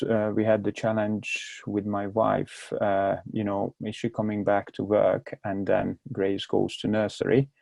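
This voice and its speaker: A low, gravelly man's voice with a strong Hungarian accent, almost monotone as he explains himself in his second language. He stalls and repeats words mid-sentence, and the mellow, dark tone sits in a dry, close space. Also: no room sound. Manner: halting, repeats words while searching for them. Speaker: guest. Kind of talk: casual interview talk.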